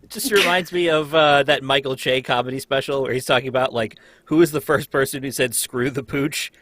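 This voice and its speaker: A man's voice: medium pitch, fairly quick, fairly bright tone, dry recording. A man joking around in casual chat, with playful exaggerated delivery. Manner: jokey, acts out exaggerated exclamations. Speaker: cast member of a livestreamed old-radio table read.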